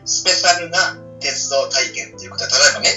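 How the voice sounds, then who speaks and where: A man co-hosting a casual radio talk show, eagerly pitching ideas with rhetorical questions. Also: very animated, fast, pushed and bright. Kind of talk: casual chat. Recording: roomy, distant, dull sound with faint music.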